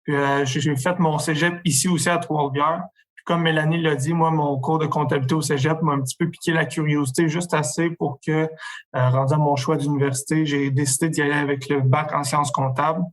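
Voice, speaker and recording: A young man from Quebec with a Québécois accent, calmly recounting his path as a podcast guest. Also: fairly high pitch, a little fast. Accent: Québécois French. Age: young adult. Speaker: accounting student guest. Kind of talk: casual interview answers.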